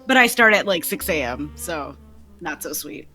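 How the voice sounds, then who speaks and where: Young woman chatting casually, really bright voice, faint music behind. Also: deep voice, expressive, normal speed. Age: young adult. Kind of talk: casual chat.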